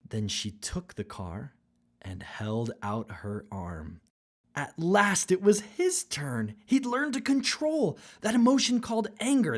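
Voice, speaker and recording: A woman with a husky voice narrating a rhyming children's story expressively. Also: low-pitched.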